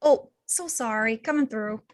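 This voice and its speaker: A woman's voice: fairly high pitch, measured pace, lightly acted. A woman voicing a scatterbrained, chirpy young female villain with a breezy, apologetic manner in an amateur table read. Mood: breezy, flustered.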